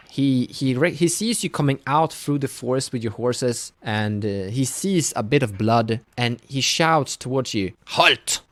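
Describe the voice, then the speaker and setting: A man with a medium-pitched voice narrates a tabletop fantasy game as game master. He improvises in a halting, conversational flow, recorded dry and close. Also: no room sound.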